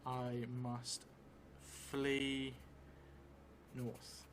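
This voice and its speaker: Slow, even-toned middle-aged man thinking aloud. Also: medium pitch. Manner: halting, thinking aloud, slowly dictating as he writes. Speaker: solo role-playing streamer. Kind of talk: improvised storytelling while writing and playing a solo tabletop game. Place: indoor theatre room, seated at a table. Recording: clearly noisy room recording.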